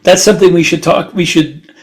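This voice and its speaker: A man co-hosting a podcast, talking casually and haltingly as he thinks aloud. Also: on the high side, fairly even intonation. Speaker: podcast co-host and author. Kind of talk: casual podcast discussion of publishing.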